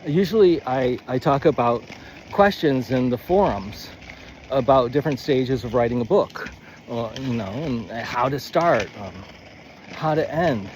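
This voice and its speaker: A man giving a rambling, informal lesson as a writing coach, thinking aloud in a halting, stammering way and often wandering off on tangents. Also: medium pitch, lively intonation, a little mellow, slight background noise, rough recording. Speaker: writing coach, presenter of a video series.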